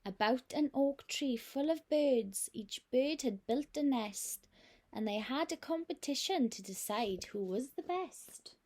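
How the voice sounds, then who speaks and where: A nursery teacher reading a picture book aloud to young children in a gentle, expressive way. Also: female voice, high-pitched, a little slow.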